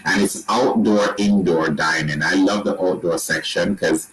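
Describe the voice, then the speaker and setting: A man with a Jamaican accent, walking listeners through resort rooms and restaurants in a relaxed sales pitch with frequent restarts. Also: a little slow, soft background music, poor audio. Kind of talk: presenting a slideshow tour.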